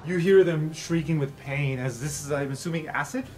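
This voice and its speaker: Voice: mid-range voice, normal speed, darkish tone. A game master narrating an improvised tabletop fantasy game in a casual, informal way. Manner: casual, informal, swearing freely. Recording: music under the talk. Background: music.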